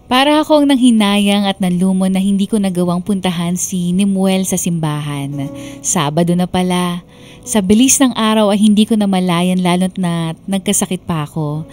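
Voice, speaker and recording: A woman's voice: mid-range voice, darkish tone, close to an everyday voice. A woman voicing a sentimental young woman narrating her love story in a Filipino radio drama. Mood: wistful. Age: young adult. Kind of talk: scripted dramatic first-person narration.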